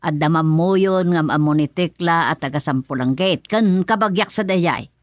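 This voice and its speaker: A woman's voice: lowish voice, normal speed, no echo, studio quality, lightly acted. A woman acting a bossy middle-aged village wife and mother in an Ilocano radio comedy drama, scolding and exclaiming. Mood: coaxing.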